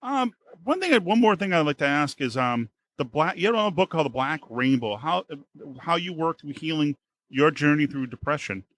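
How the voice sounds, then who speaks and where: A man with an American accent hosting a casual podcast interview, halting and rephrasing as he asks questions. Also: fairly high pitch, lively intonation, fairly bright tone. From United States. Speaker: podcast host.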